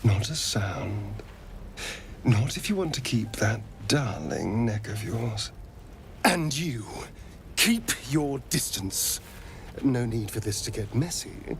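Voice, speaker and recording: A man's voice: medium pitch, animated, takes it slowly, a little hiss or hum. An actor voicing a sly, silky and menacing male rogue in a video game, with an arch, affected delivery. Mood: quiet menace.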